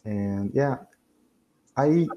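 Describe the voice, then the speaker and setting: A man's voice: mid-range voice, lively intonation, extremely slow, lo-fi sound. A young man whose first language is Portuguese, practicing English on a video call, hesitant and correcting himself often, with frequent laughs. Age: young adult. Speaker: English student. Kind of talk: casual conversation practice.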